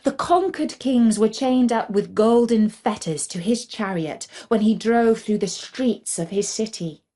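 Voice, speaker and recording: Woman reading kids a fairy tale, really slow and soft-toned, careful storybook delivery. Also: fairly high pitch. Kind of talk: scripted fairy tale narration for children.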